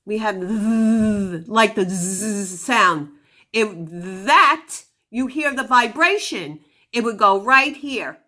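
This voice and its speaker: A woman teaching a phonics lesson to young children, giving deliberate instructions and sounding out words. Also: medium pitch, bright, forceful tone.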